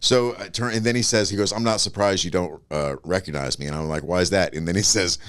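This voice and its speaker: Male podcast guest, very deep voice, easygoing storyteller. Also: fast talker, fairly bright tone, clear, resonant tone. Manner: laid-back anecdotal storytelling, quotes others in higher voices. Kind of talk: casual chat.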